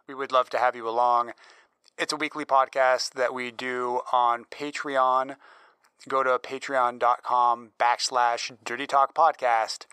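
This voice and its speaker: A man hosting a podcast and reading an upbeat plug for listeners. His voice is bright and crisp, recorded dry and close with no room sound. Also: mid-range voice, dry recording. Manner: upbeat, promotional. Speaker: podcast host. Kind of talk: scripted promo read.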